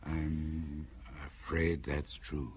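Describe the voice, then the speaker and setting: A man playing a gentle, concerned old friend in a radio drama, with a husky voice. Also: very deep voice, flat delivery, drawn-out words, a little hiss or hum, full character voice. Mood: sympathetic.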